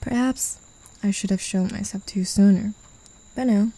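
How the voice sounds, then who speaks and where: A woman's voice: medium pitch, normal speed, warm tone, slightly rough, dry recording, poor audio. A woman voicing a possessive female demon in an ASMR roleplay, talking down to her human pet in a teasing, condescending way, often whispering. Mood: domineering. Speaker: voice actor.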